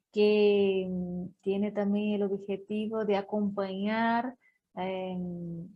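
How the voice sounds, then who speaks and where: Host, female, introduces guest haltingly, restarts on names. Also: medium pitch, a little mellow. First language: Portuguese. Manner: halting, self-correcting over names and words. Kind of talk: online talk introduction.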